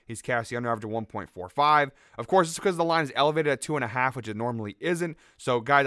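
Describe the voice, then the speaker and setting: A man rattles off betting odds and stats at a brisk clip, his voice a touch raspy from a late night out. The sound is smooth and clear. It is recorded close in a dry room. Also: mid-range voice, fairly bright tone, no room sound. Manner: quick, upbeat, rattles off stats with fillers. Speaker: sports betting picks host. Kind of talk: fast casual betting-tips monologue.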